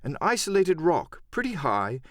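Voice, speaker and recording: A man's voice: fairly high pitch, wildly swooping pitch, normal speed, no room sound, studio quality. A man reading a first-person adventure story as a dramatic scripted audiobook narration.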